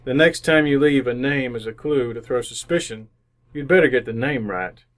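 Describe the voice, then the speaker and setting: A man playing a shrewd, methodical police inspector in an old-time radio mystery, with a measured, formal way of questioning. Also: medium pitch, natural voice. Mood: coolly accusing. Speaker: amateur radio actor.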